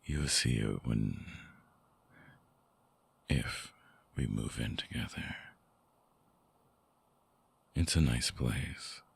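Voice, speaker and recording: A man playing a brooding, seductive, possessive vampire ex-lover in an intimate ASMR audio roleplay, with slow, hushed, coaxing delivery and a very deep, breathy, gravelly voice on a close mic. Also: no room sound, exaggerated voice. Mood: tender, reluctant. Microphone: close microphone, dry binaural recording.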